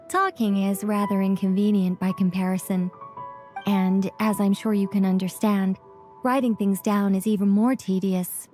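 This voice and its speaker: A woman's voice: medium pitch, dark tone, lightly acted. A woman playing a composed, whimsical author in a video game, articulate and breezily polite. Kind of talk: video game character acting. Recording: faint music behind.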